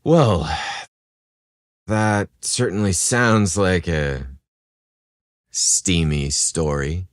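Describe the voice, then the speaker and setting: A man's voice: deep voice, very slow, long pauses, no room sound. An awkward, lovestruck male writer in a romance storybook, played by a male narrator. He is eager and easily flustered, with a hesitant delivery in a dry, close recording. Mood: flirty. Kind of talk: romantic audio storybook acting.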